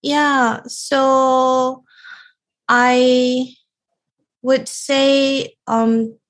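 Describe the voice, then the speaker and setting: Japanese-accented woman guest, halting. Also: high voice, level tone. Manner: halting, many fillers and restarts. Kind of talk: casual interview chat.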